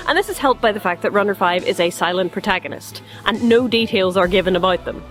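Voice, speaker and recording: A woman presents a review in a casual, chatty style that is clearly scripted. Her voice sits at a middling pitch and her manner is wry and dryly jokey, with light music underneath. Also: quick pace. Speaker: host of a review show.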